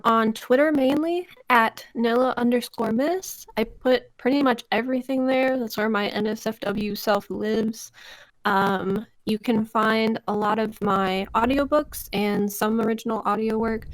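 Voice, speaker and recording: A woman's voice: on the high side, steady tone, measured pace, dry recording, poor audio. A woman chatting casually as an interview guest, giggly and self-deprecating. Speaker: voice actress, podcast guest.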